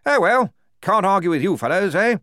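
A man playing a loud, hearty young army major. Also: very animated, normal speed, no room sound, clean studio sound, full character voice. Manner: booming, jovial, tacks on "eh?". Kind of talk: audiobook character voice.

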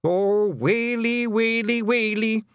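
A tiny, rowdy Scottish fairy man from a storybook. His voice sits very high and leaps about, with an excitable, deferential manner and thick dialect. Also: moderate pace, no echo, studio quality. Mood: alarmed, wailing. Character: tiny blue fairy man, anxious humble rowdy thief.

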